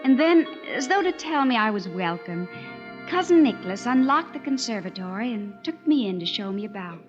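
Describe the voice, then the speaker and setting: A woman's voice: fairly high pitch, sing-song, fairly quick. An actress playing a shy, earnest young woman companion, self-conscious and wide-eyed, in an old-time radio drama. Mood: wistful narration. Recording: dull vintage radio recording with music.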